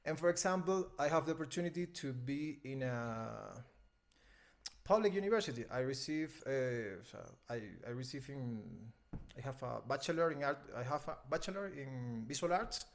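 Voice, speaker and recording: A Venezuelan man in his thirties, a native Spanish speaker, talking hesitantly in an interview and often pausing to find his words. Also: medium pitch, expressive. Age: 30s. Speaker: interview guest, film editor. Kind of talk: casual interview conversation.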